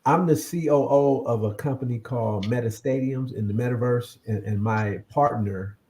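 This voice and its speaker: A man's voice: mid-range voice, normal speed, a little mellow, slight background noise. A man chatting casually as an interview guest, a creator who often repeats his words. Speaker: guest, comic and film creator.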